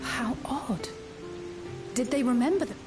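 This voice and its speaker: A woman playing a composed, purposeful adventurer in a fantasy video game, with measured, serious delivery. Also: high voice, expressive, music in the background. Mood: puzzled. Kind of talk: dramatic video game acting.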